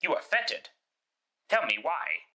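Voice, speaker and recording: A man's voice: fairly high pitch, wildly swooping pitch, a little slow, bright, forceful tone, full character voice. A man playing a blustering, skeptical older miser in a classic radio drama, with emphatic, theatrical delivery. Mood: frightened, pleading.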